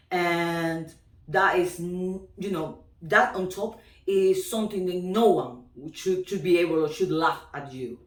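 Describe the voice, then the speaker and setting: A woman's voice: low voice, roomy sound, distant mic. A woman teacher from Peru with a strong Peruvian Spanish accent, talking casually to camera with many fillers, restarts and frequent laughs.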